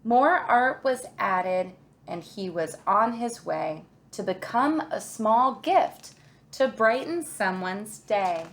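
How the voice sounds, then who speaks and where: A woman reading a children's picture book aloud in an expressive, deliberate storytelling way, like a kindergarten teacher. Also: mid-range voice.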